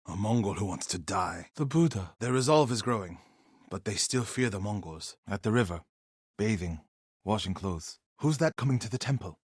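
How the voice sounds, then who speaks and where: Husky, earnest warrior monk. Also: male voice, medium pitch, no room sound, studio quality. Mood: quiet, grave. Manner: solemn, formal, earnest. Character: earnest, devout Japanese warrior monk, loyal and grieving. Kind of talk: video game voice acting, dramatic dialogue.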